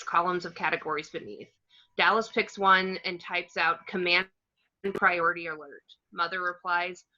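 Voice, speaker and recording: A woman's voice: on the low side, sharp tone. A woman reading out screenplay scene descriptions as the narrator of a script table read. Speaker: reader of the action description. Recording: dull, lo-fi sound.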